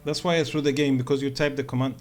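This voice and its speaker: A man's voice: mid-range voice, fairly even intonation, quick pace. A man streams a strategy game and thinks aloud as he plays. He jokes with his chat in a loose, casual way. Faint game music hums underneath. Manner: joking, thinking aloud while playing. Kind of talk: live gameplay commentary.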